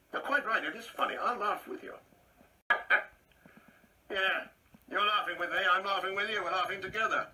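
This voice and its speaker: A man's voice: high-pitched, pushed and bright, far from the mic, exaggerated voice, low-quality recording. An actor playing a proud, insecure older man in a radio play, speaking in a pompous, precise, theatrical way. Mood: bitterly mocking. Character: proud, insecure ageing man, bitterly reminiscing and taunting.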